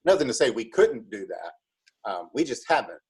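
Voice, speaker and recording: A man being interviewed as a school drama club director, explaining in a casual, halting way. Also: mid-range voice, very animated, husky.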